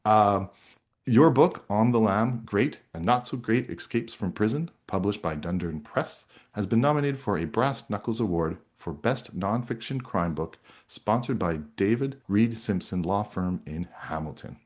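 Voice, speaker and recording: A man's voice: lowish voice, animated, measured pace, a little mellow. A man hosting a podcast interview, moving between introductions and conversational questions.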